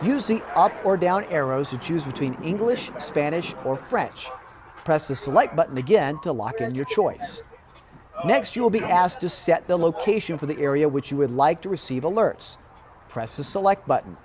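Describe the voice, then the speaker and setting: A man presenting a scripted how-to demonstration, going through each step in a clear, orderly way. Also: on the high side, animated, moderate pace, a crowd in the background. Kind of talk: scripted instructional narration.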